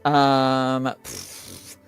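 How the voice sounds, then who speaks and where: Unhurried, smooth-voiced man running a game. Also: mid-range voice, soft background music. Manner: halting, hesitant delivery. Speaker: game master. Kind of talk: improvised narration of a tabletop game.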